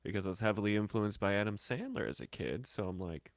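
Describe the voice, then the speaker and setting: A man with an American accent and a fairly low, gravelly voice, chatting casually. His pitch swings freely as he rambles and jokes through stories, and the sound is dry and close. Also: quick pace, no echo. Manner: rambling, joking, animated. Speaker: musician and guest on the show. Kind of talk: casual chat, storytelling.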